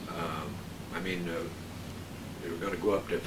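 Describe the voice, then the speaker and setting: An older man, a guest in a casual chat, recalling things haltingly and hesitantly. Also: sits low, little pitch movement. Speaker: guest, former radio broadcaster. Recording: echoey, distant mic, clear background noise.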